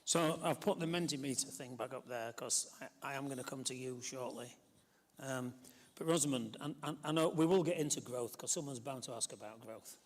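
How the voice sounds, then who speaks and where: A man's voice: mid-range voice, brisk, long reverb tail. A man from Leeds, moderating a symposium panel in a chatty way and often repeating words. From Leeds, England.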